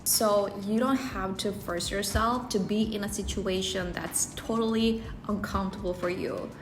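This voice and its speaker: A woman's voice: medium pitch, fairly even intonation, normal speed, background noise. A woman speaking English, giving earnest personal advice to camera and often rephrasing herself mid-sentence. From South Korea. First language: Korean. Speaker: English-learning video creator. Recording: roomy echo, faint background music.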